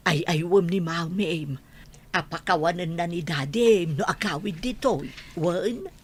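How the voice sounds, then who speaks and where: A woman playing a kindly old grandmother with a husky voice and an Ilocano accent in a radio drama. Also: lowish voice, measured pace. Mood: gentle coaxing.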